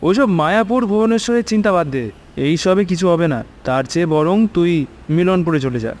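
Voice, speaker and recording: A man's voice: sits high, expressive, normal speed, darkish tone. A man playing a reassuring, casually persuasive friend in a cartoon. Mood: breezy, reassuring. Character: breezy, reassuring young male friend in a cartoon.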